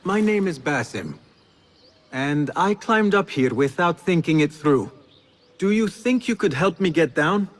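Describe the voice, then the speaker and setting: A game hero: a young assassin, earnest and kind. His voice sits fairly high for a man and moves with very lively intonation. He comes across as modest. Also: a little slow. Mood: gentle. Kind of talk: video game cutscene acting.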